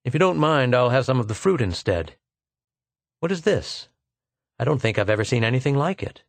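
A man's voice: medium pitch, sing-song, normal speed, vocal fry, no room sound. A man voicing a frank male archaeologist who speaks bluntly and gets exasperated easily. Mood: curious.

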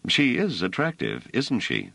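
A man's voice: on the low side, wildly swooping pitch, unhurried, creaky, fairly bright tone, breathy edge, no room sound, voice pushed up, exaggerated voice. A man voicing a wary, wry male space traveler who leads his small group.

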